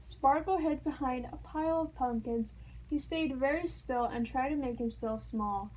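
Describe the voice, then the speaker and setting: High-voiced woman reading a children's story. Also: moderate pace, slight background noise, low-quality recording. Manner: expressive storytelling with playful sound words. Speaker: storybook reader. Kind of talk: children's read-aloud narration.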